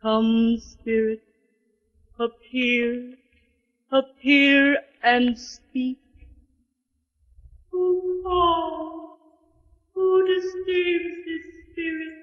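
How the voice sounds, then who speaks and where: An actress playing an imperious, solemn princess who works as a spirit medium, in a theatrical 1940s radio drama style. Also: female voice, high voice, flat delivery. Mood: solemn, summoning. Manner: theatrical, solemn, slow incantations. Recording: dull old 1940s radio recording.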